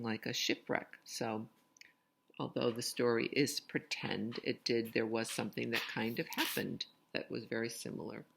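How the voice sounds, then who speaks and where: A woman's voice: rumbling low, vocal fry, a bit bright. A woman reading a picture book aloud expressively, with casual asides to young listeners. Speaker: read-aloud storyteller.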